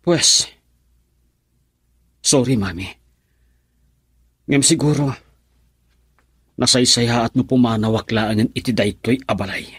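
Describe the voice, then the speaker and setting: Husky, headstrong young Filipino man. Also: medium pitch, slow pace, pauses often, fairly bright tone, dry recording, clean studio sound. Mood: pleading, resolute. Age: young adult. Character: defiant young son and sweetheart, earnest and headstrong. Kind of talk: Filipino radio drama acting.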